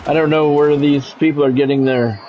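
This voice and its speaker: A man's voice: a little high, steady tone, moderate pace, dull audio. A man doing live play-by-play of a sports video game, switching between announcer-like calls and casual asides to viewers. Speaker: streamer and play-by-play commentator. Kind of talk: live sports game commentary mixed with chat.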